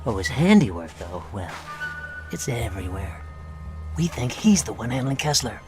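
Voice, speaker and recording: A man playing a frank, wry American intelligence officer in a video game cutscene, with an American accent and a casual, measured manner. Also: mid-range voice, sing-song, over music, close to an everyday voice.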